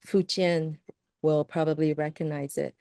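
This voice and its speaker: A woman panelist in a conversation, speaking in a thoughtful, measured way. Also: low-pitched, steady tone, vocal fry, warm tone, no room sound, studio quality.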